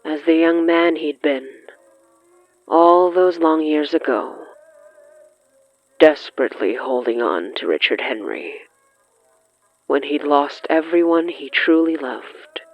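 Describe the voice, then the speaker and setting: A mid-pitched narrator delivers scripted horror fiction slowly and deliberately, leaving weighty pauses between phrases. The sound is dry and dull, like lo-fi radio, with faint music underneath. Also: no room sound. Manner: measured, halting, with weighty pauses. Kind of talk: slow, dramatic scripted narration.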